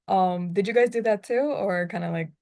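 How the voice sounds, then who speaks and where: A young woman from the United States, moderating a casual group discussion in a chatty way with quick asides. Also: medium pitch, mellow.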